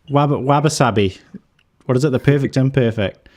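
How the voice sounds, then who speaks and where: A man's voice: mid-range voice, normal speed, a little mellow. Easygoing New man hosting. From New Zealand. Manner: easygoing, laughs often. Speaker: host. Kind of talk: casual roundtable chat. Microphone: video call.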